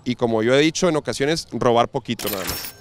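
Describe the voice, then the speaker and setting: A man's voice: medium pitch, animated, fast, slight background noise. A man in his thirties with a Mexican accent, interviewing passersby on a pier with a handheld mic, joking in slangy banter. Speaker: street interviewer. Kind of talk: casual street interview. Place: outdoors on a busy seaside pier.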